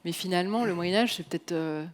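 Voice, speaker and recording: A woman's voice: lowish voice, quick pace. A woman hosting a live evening event and interviewing a guest, hesitant and full of fillers. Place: hall at a public evening event.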